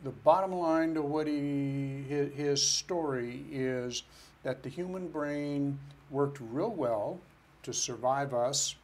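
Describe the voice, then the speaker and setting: A man's voice: a little high, slow pace. A man who is a financial planner, answering interview questions in an earnest, step-by-step way. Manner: earnest, explains step by step with restarts.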